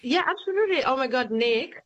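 A woman's voice: high-pitched, animated, a little fast. Woman, from Somalia, poet guest, hesitant fillers, slightly dull recording. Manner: hesitant, many fillers, laughs often. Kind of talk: casual interview chat.

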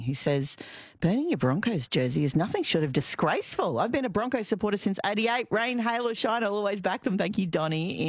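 Lively Australian woman radio host. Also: lowish voice, no room sound, studio quality. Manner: chatty, reads out listener texts, lively. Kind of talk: casual morning radio chat.

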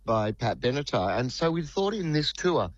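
A middle-aged man, a band's lead singer, talking in a relaxed, rambling way with lots of fillers. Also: mid-range voice, normal speed, dry recording, lo-fi sound. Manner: relaxed, rambling, many fillers, says "mate". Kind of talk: phone or studio interview.

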